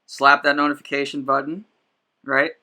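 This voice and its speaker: A man's voice: mid-range voice, level tone, moderate pace, pushed and bright. A man in his twenties hosting a casual podcast chat, hesitant with many fillers. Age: 20s. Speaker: podcast host.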